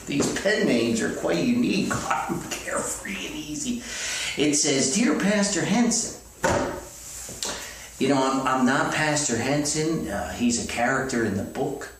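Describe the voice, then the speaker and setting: A man hosting a rambling comedic mailbag podcast, reading letters in a folksy, halting way with frequent digressions. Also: a little high, animated. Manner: folksy, halting, repeats himself, digresses often. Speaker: podcast host and author reading fan mail. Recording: roomy echo, distant mic, faint noise.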